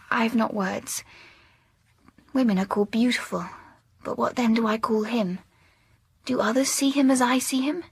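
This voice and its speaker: A lovestruck young gentlewoman in a period audio drama, earnest and anxious. Her voice is fairly high and breathy, confiding in formal phrases, recorded close and dry. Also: no echo, full character voice.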